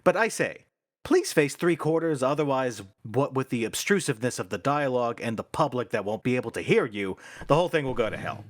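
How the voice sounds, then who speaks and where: An actor playing a pompous, bossy and exasperated theater manager in a radio play, declaiming theatrically. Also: male voice, mid-range voice, animated, fairly quick, no echo, studio quality, lightly acted. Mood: exasperated, lecturing.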